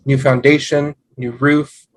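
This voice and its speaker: A man acts out an eager, devoted young husband in a sitcom read-through. His voice sits in the middle of the male range. He talks in an earnest, excitable way. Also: medium pitch, unhurried, natural voice. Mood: explaining, hesitant.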